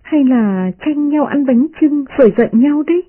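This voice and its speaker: A woman voicing a witty young noblewoman who teases in a high, playful voice. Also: lively intonation, normal speed. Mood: teasing. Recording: muffled radio broadcast.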